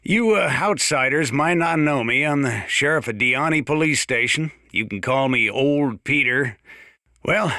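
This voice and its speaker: Folksy old American sheriff, forceful bright voice. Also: male voice, medium pitch, no echo, studio quality. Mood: grim, wry. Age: older. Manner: folksy, plain-spoken, drawn-out hesitations. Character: folksy, gruff old small-town mountain sheriff. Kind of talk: in-game radio broadcast monologue.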